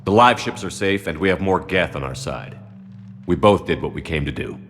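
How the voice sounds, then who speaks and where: An actor playing a steady, authoritative military commander in a sci-fi game, terse and plain-spoken. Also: male voice, low voice, normal speed, a little hiss or hum. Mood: serious. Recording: long reverb, faint music behind.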